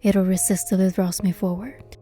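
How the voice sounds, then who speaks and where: Woman playing young game heroine, mellow dark tone, medium pitch, bold and curious, expressive first-person storytelling, faint music behind. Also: brisk, natural voice. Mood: narrating, hushed wonder. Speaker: fan dub voice actor and narrator. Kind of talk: scripted first-person fantasy game narration and dialogue.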